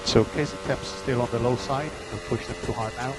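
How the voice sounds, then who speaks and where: Calm male race engineer on radio. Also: mid-range voice, fairly quick, very noisy. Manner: steady stream of gaps, times and instructions. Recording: team radio with music behind.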